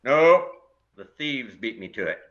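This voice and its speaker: A man's voice: medium pitch, very animated, unhurried, a bit bright, muffled recording. A man playing a grumpy older widowed neighbor in a stage play, blunt and dryly complaining. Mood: grumbling, resigned.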